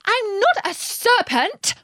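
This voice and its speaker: A woman voicing a puzzled, earnest, indignant little girl in a storybook reading, with expressive, drawn-out phrasing. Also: extremely high pitch, dry recording, clean studio sound, voice pushed up, heavily acted.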